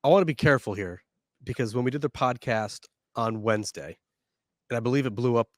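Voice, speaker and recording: A man with a mid-range voice co-hosts a casual sports podcast, his words a little nasal and stuffy from a head cold. He talks in a measured, somewhat worn-out way. The sound is dry and close, with no room echo. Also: medium pitch. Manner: measured, slightly tired delivery. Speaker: podcast co-host. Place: studio.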